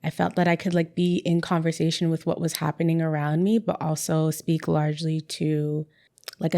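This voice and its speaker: A woman's voice: deep voice, gravelly, darkish tone. A young adult woman, a guest in a casual interview, talking in a chatty way with many "like" fillers and repeated words. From Canada.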